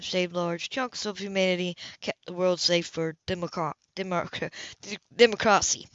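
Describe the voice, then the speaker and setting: A woman's voice: fairly low pitch, a bit bright, no echo, lo-fi sound. A woman reading a novel aloud as an audiobook, sometimes stumbling and restarting on words. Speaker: audiobook reader. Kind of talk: audiobook narration.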